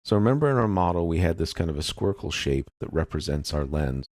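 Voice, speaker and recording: A man giving a casual, step-by-step software tutorial, explaining informally and thinking aloud as he works. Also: sits low, lively intonation, a little fast, no echo.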